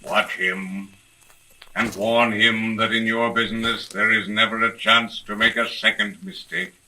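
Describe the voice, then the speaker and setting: An actor playing a stern, mysterious older father who speaks as an unseen avenger, slow and commanding, in 1930s radio drama style. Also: fairly low pitch, level tone, pushed and bright, exaggerated voice. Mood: grave warning. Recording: noisy, clicking vintage radio recording.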